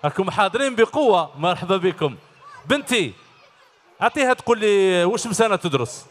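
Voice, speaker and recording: Upbeat algerian guy, high quick voice, hosting kids on stage, big hall echo. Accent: Algerian Arabic. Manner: cheerful, animated, quizzing children. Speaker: children's show host. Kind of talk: live stage show talking with kids. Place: large concert hall stage. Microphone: handheld mic. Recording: long hall reverb.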